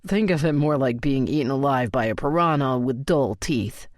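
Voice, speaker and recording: A woman's voice: rumbling low, animated, normal speed, dry recording, studio quality, voice pushed down. A woman voicing a cocky, teasing young man. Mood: joking.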